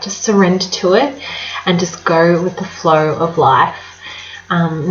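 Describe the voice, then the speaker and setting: A young woman chats casually to her camera, full of ums and friendly advice. Her fairly low voice is a little nasal from a cold. The sound is roomy and slightly muffled, like a home recording. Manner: chatty, warm-hearted advice with many fillers. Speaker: vlogger.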